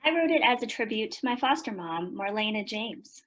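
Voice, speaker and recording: A woman's voice: on the high side, expressive, a little fast, a bit bright. A woman playing an earnest author in a radio play, recalling her foster family in reflective narration. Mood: fond, reflective.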